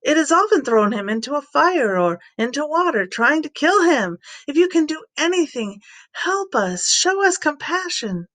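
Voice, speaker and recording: A woman's voice: high voice, very animated, moderate pace, a bit bright, no echo, voice pushed up. A woman voicing a desperate father in a scripture reading, urgent and imploring as he pleads for his child. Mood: pleading.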